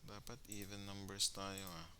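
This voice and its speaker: A man's voice: fairly low pitch, monotone, measured pace, pushed and bright, a little hiss or hum. A man with a Filipino accent casually commentating his own gameplay, thinking aloud and mixing Tagalog with English. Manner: mixes Tagalog with English phrases.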